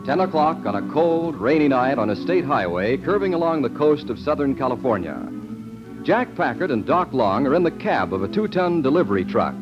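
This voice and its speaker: A man's voice: medium pitch, big pitch swings, normal speed, music in the background. A man narrating a 1940s radio drama in a formal, dramatic announcer style. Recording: muffled 1940s radio recording.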